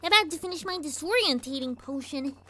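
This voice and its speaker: Very squeaky scheming little pony girl. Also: expressive, a little fast, bright, forceful tone. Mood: cheerful, breezy. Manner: perky, falsely innocent. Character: scheming young girl pony villain, cheery and cocky. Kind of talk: animated-series fan fiction audio drama. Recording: music under the voice.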